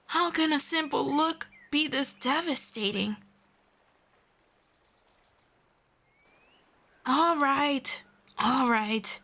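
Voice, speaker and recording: A friendly elf woman, acted by a female performer in a fantasy roleplay, with a fairly high voice and slow, measured delivery. She speaks gently and earnestly to a single listener in formal storybook phrasing. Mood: reluctant, yielding. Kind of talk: roleplay audio drama, speaking one-on-one to the listener.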